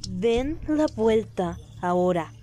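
Woman as a mellow-toned, defiant cartoon guard. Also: mid-range voice, expressive, takes it slowly, soft background music. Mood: urgent, threatening. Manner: firm, commanding. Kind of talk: animated fan dub acting.

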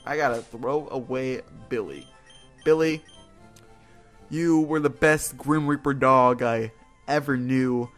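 A man's voice: mid-range voice, steady tone, takes it slowly, fairly bright tone. A man giving casual gameplay commentary as a video host, joking and self-deprecating, with informal talk and mild swearing. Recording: game music behind the voice. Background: video game music.

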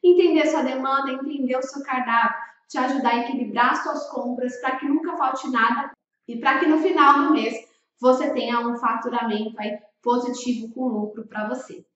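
A Brazilian woman with a high voice presents an instructional vlog in an emphatic, didactic way. She sounds set back from the microphone, and the room rings with heavy echo. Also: steady tone, moderate pace, a bit bright. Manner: emphatic, didactic, with rhetorical questions. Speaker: food-service consultant and video host. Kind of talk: instructional vlog addressed to restaurant owners. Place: small indoor room with bookshelf. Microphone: large microphone with pop filter in front of her. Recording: echoey room, distant, slightly dull sound.

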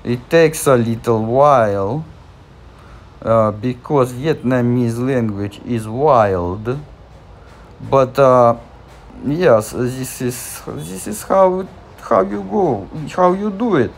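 A man's voice: mid-range voice, lively intonation, takes it slowly, creaky, slight background noise. A man with a Russian accent walking through an app tutorial, hesitant and full of fillers and restarts. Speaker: channel host. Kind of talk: screen-recorded app tutorial.

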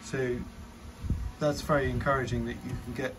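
A man's voice: medium pitch, flat delivery, normal speed. A man giving a casual, informal demo commentary as a drone reviewer, thinking aloud hesitantly. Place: outdoors on a garden patio in rain. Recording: outdoor recording with clear background noise.

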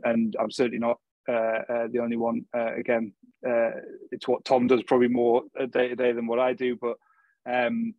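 A man talks at an online meetup, rambling with plenty of fillers and restarts. His pitch stays flat and level, and the sound is dry and close, with no room echo. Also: lo-fi sound. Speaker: guest speaker. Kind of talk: casual online meetup talk.

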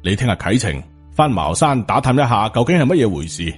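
A man voicing an angry, suspicious middle-aged Taoist master in an audiobook, gruff and accusing. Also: mid-range voice, sing-song, brisk, soft background music. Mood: stern, shocked.

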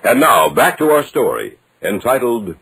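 Dramatic vintage radio announcer, animated man. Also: mid-range voice, moderate pace, slightly rough. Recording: faintly noisy old radio recording.

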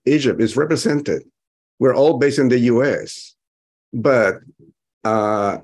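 A man in his fifties or sixties speaking in a measured, deliberate way as a panelist on a video call. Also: medium pitch, a little mellow. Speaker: physician panelist. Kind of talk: conversational panel discussion.

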